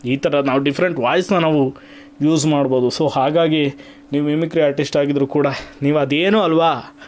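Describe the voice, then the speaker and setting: A man's voice: fairly high pitch, fairly even intonation, normal speed. A man hosting an explanatory vlog, mixing in English terms and listing things emphatically. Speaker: YouTube channel host. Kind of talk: explanatory vlog talk to viewers.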